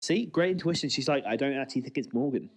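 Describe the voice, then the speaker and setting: A man's voice: medium pitch, expressive, fast. A man co-hosting a podcast, talking through his observations in a casual, conversational, explanatory way. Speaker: co-host of a TV commentary podcast.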